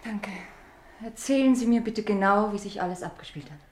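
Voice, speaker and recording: A woman's voice: medium pitch, a little fast, a little mellow, a little hiss or hum, close to an everyday voice. Radio crime play: actress for reserved, shaken woman, mid-range voice, hesitant and guarded delivery. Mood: anxious, questioning. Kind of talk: German radio crime drama acting.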